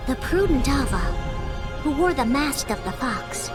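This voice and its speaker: A woman playing a solemn storybook narrator, measured and formal, in a game fan dub. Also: high voice, expressive, over loud music. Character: solemn female storybook narrator of legendary masters.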